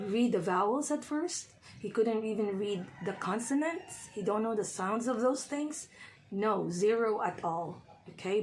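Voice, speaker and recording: Hoarse woman tutor, chatty. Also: medium pitch, a little hiss or hum, low-quality recording. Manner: chatty, often checks in with "okay?". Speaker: reading tutor. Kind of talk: casual vlog talk to viewers.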